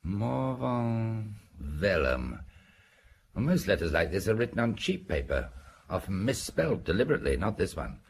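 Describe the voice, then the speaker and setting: An actor playing a thoughtful, observant police detective in a radio drama, speaking in a considered way. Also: male voice, low-pitched, sing-song, normal speed, gravelly, slight background noise, full character voice. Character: thoughtful male police detective weighing up evidence.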